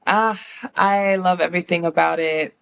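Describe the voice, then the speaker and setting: A woman's voice: fairly low pitch, steady tone, moderate pace, creaky, clear, resonant tone. An American woman speaking as an interview guest, reflective and conversational, thoughtful in how she phrases things. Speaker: interview guest, horror writer and director.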